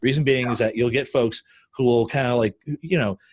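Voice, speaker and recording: A man hosting a podcast, chatty and rambling, full of "you know" and "like." His voice is mid-pitched and recorded dry and close, with no room sound. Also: steady tone, fairly quick, dry recording. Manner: chatty, rambling with many fillers. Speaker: podcast host. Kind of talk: casual panel chat.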